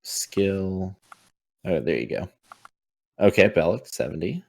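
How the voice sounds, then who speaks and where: A man running a tabletop game as game master, improvising narration in a casual, halting way, thinking aloud and swearing freely. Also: sits low, animated, gravelly.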